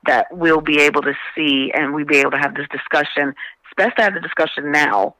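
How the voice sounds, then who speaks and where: A man's voice: a little high, steady tone, fairly quick, sharp tone, no room sound, poor audio. A man in a radio interview, speaking in an earnest, emphatic way and often circling back to rephrase his point. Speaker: radio show guest, stage play promoter. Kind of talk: conversational radio interview talk.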